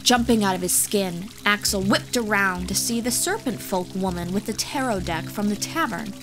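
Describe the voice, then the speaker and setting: A woman's voice: medium pitch, moderate pace. A woman reading scripted fantasy audiobook narration as the storyteller, with music behind her. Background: background music.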